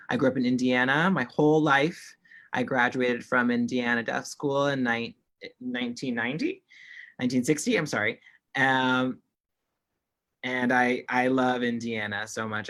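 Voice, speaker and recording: A male interpreter with a bright, forceful tone, voicing a panel's signed remarks in the first person. He relays steadily, often restarting a phrase mid-sentence, over an online call mic. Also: mid-range voice, steady tone, moderate pace. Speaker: sign language interpreter.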